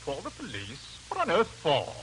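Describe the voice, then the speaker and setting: An actor playing a skeptical middle-aged husband, dismissive but reasonable, in 1940s radio drama. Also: male voice, fairly high pitch, wildly swooping pitch, moderate pace, background noise. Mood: dismissive, conceding. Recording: old radio broadcast, loud music.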